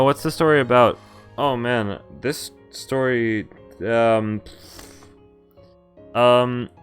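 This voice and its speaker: A man streaming a visual novel, reading it aloud and commenting casually in a halting way with offhand swearing. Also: medium pitch, a bit bright. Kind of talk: casual game walkthrough with read-aloud narration.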